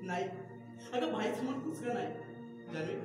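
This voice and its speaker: A man's voice: extremely high pitch, very animated, normal speed. A man playing an exasperated husband and loyal younger brother in a melodramatic domestic drama, pleading and reproving. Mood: defensive. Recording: echoey hall, distant mic, music.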